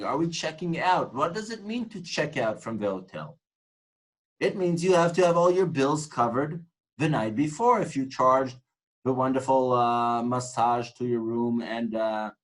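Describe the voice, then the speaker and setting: A man's voice: medium pitch, expressive, measured pace, poor audio. Man lecturing, earnest and reflective. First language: Hebrew. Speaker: tour guide instructor. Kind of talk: informal lecture on guiding.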